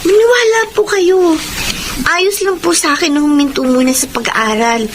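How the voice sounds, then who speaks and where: A woman's voice: sits high, moderate pace, lightly acted. An actress playing a dutiful, earnest Filipino schoolgirl granddaughter in a family drama. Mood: earnest, resolute. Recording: clearly noisy background.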